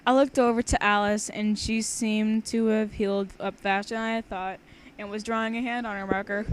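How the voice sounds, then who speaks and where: A woman's voice: medium pitch, flat delivery, moderate pace. Informal young woman on a noisy homemade recording. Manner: informal, giggly, stumbling over words. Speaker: amateur reader of own fan fiction. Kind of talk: casual read-aloud with banter.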